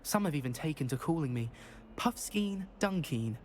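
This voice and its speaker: Man doing a timid young wizard pupil, game dialogue, fussy and halting, very animated pitch. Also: a little hiss or hum, lightly acted. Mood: anxious. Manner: hesitant, fussy and self-conscious. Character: nervous, self-deprecating young male wizard student. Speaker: game character.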